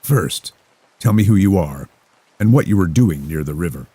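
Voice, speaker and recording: Man as weary skeptical detective, blunt questions, low husky voice, dry sound. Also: animated, moderate pace, dark tone, no echo. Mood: questioning. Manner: blunt, matter-of-fact questioning. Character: tired, skeptical male police detective.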